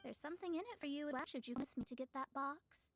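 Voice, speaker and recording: A woman playing a proud, theatrical young astrologist in an animated fantasy game, speaking in a haughty, formal, lecturing manner. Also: high voice, quick pace. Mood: persuasive, self-assured.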